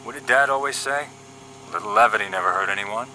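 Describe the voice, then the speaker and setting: Man playing a sharp-voiced, cocky young agent. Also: fairly low pitch, fast, slight background noise, rough recording. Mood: breezy. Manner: flippant, bantering. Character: wisecracking, cocky young male paramilitary agent, teasing optimist. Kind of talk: scripted audio drama dialogue.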